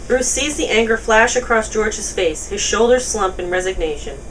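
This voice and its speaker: A woman's voice: medium pitch, animated, moderate pace, fairly bright tone. A woman reading stage directions as scripted narration at a table read. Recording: distant mic, noisy room.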